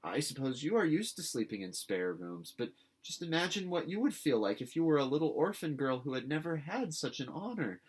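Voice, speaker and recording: A man's voice: a little high, very animated, a little fast, hardly any pauses, breathy edge, pitched above the performer's own voice. A man reading a storybook as an earnest, dramatic orphan girl who pleads in formal, effusive speech. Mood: eager.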